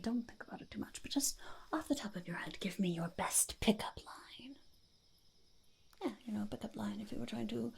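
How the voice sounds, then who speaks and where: A woman voicing a quirky, scheming goblin interviewer in a whispered ASMR roleplay, halting and muttering, often repeating phrases. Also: medium pitch, a little mellow, exaggerated voice. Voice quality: breathy whisper with heavy creak. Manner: halting, muttering, repeats phrases, speaks of self in third person.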